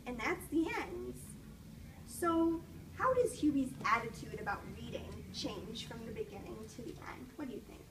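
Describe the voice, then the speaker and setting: High-voiced woman reading a storybook aloud. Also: animated, moderate pace. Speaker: student teacher reading aloud to children. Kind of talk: children's storybook read-aloud with questions. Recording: distant mic with faint background noise.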